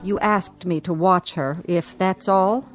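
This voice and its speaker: An actress playing a brisk, dutiful hospital nurse in a radio drama, polite and professional. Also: female voice, lowish voice, steady tone, soft background music. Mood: questioning. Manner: polite, professional, matter-of-fact.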